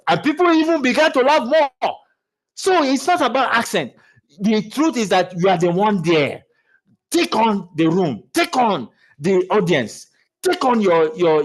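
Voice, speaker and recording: A man giving a motivational talk on public speaking, animated, with lots of restarts and repeated words, laughing as he goes. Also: high voice. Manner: laughs while talking. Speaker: speaking coach.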